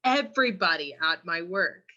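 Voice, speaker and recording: Bright-voiced young woman, throat-clearing chatter. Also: on the low side, lively intonation, moderate pace, muffled recording. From California. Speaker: podcast co-host. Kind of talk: casual chat.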